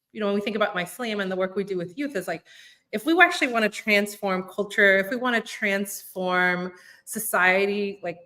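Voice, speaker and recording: Woman youth-program leader, bright, tense tone, easygoing chatty delivery full of fillers. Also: medium pitch. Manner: casual, many "like" fillers, thinks aloud. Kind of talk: conversational panel discussion.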